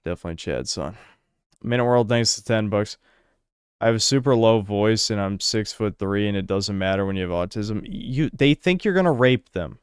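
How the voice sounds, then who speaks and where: A man's voice: lowish voice, expressive, normal speed, smooth, no echo, clean studio sound. A young American man streaming, reading donation messages and answering chat in a blunt, crude, sarcastic way. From United States.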